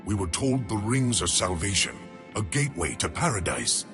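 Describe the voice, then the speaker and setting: A deep, grave alien commander. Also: male voice, sing-song, soft background music. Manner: solemn, commanding, measured. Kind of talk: video game cutscene acting. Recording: game audio with loud score.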